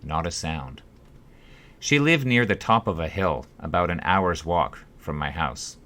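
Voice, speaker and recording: A man reading his own first-person story as an audiobook, with measured, deliberate phrasing. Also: low-pitched, animated, fairly bright tone. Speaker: author reading own book.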